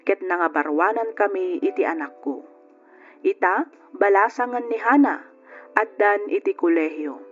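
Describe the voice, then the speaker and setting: A man's voice: high-pitched, normal speed, lightly acted. Guy playing wistful dad narrating an old radio drama, reflective, dull sound, music under it. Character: parent reflecting sorrowfully on raising a child.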